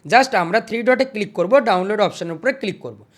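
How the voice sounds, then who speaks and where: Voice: medium pitch, brisk. A tutorial host narrating screen steps in an instructional way, repeating each step before moving to the next.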